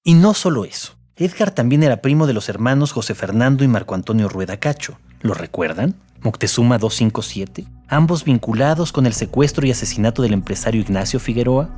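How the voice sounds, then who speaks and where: A man's voice: medium pitch, animated, a little fast, a little mellow. A man co-narrating an investigative true-crime audio documentary from a script.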